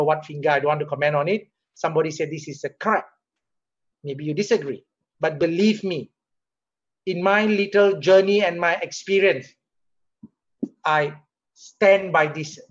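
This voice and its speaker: High-voiced Singaporean man, muffled video call. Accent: Singaporean English. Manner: conversational, rhetorical questions, rises animatedly when making a point. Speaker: company CEO giving a leadership talk. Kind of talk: motivational online talk. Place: home or office room, webcam. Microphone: webcam or laptop mic on a video call.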